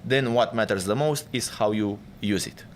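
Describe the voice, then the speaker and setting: A man speaking on a panel in a casual, measured way, explaining his points with analogies. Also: lowish voice, a little hiss or hum.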